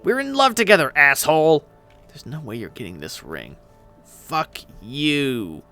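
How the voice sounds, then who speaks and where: Man doing a whiny, bickering young guy, swooping pitch. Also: sing-song, brisk, gravelly, a bit bright, soft background music, full character voice. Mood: petulant squabbling.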